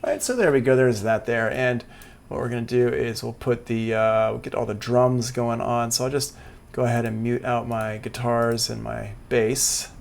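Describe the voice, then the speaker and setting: Casting: male music production instructor, informal, creaky low-to-middle voice, thinking aloud on a livestream with faint background noise. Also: flat delivery, normal speed. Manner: casual, informal. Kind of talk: live software tutorial, thinking aloud. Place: indoors, livestream class.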